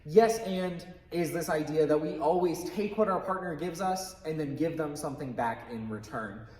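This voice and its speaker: A man's voice: on the high side, normal speed, a little mellow. A man teaching improv in an informal, emphatic way, explaining rules and stressing key phrases in an echoey room. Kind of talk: explanatory lesson with quick acted examples.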